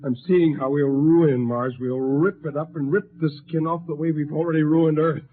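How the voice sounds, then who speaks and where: A man's voice: fairly high pitch, steady tone, normal speed, slightly rough. A man playing an idealistic, bitter space crewman with impassioned delivery, in 1950s radio drama style. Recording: tinny old radio recording.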